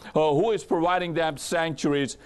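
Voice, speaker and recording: A male political analyst with a slightly high-pitched voice offers commentary in an interview. He speaks deliberately and haltingly, choosing formal, academic wording as he builds his explanation. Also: steady tone, smooth.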